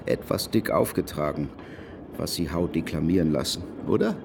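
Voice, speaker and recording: An actor playing a self-assured, probing criminologist in a German radio play, speaking formally and precisely. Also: male voice, very deep voice, expressive, normal speed, vocal fry, noisy background, full character voice.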